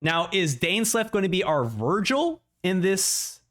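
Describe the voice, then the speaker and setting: A man in a casual livestream reaction, talking excitably and often repeating words as he thinks out loud. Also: sits high, measured pace. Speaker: streamer reacting to a video.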